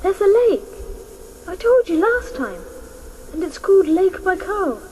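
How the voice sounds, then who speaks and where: A woman's voice: very high-pitched, sing-song, a little slow, darkish tone, heavily acted. A woman playing a plain-spoken, defiant young boy in a radio drama, with a direct, childlike manner. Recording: faintly noisy old radio broadcast.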